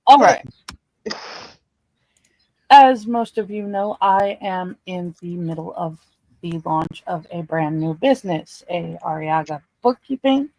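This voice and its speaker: A woman's voice: on the low side, expressive, takes it slowly, dark tone, poor audio. A woman co-hosting a livestream, talking in a casual, informal style. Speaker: livestream co-host.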